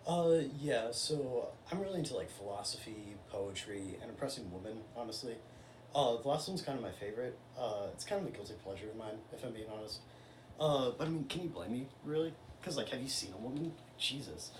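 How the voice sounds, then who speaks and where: A man's voice: mid-range voice, animated, fairly quick, close to an everyday voice. A man playing a crude, boastful philosopher in an amateur comedy sketch, talking laid-back with lots of fillers. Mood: smug. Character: crude boastful male philosopher, slacker drawl. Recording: roomy, distant, noisy home recording.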